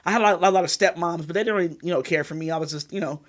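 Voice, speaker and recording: A woman's voice: lowish voice, racing. A woman in her thirties from California, talking frankly and at length as an interview guest. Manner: frank and rambling, leans on "you know what I mean". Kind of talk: candid interview conversation.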